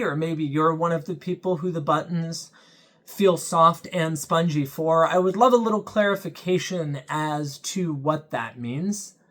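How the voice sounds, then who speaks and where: A product reviewer talking through a handheld gadget in a measured, explanatory way, pausing now and then to think. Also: medium pitch.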